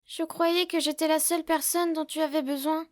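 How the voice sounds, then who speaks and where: A woman playing a deadpan, clingy eleven-year-old little sister in an anime fan dub, terse and flat in manner. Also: high voice, moderate pace, heavy background noise, natural voice. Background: background music.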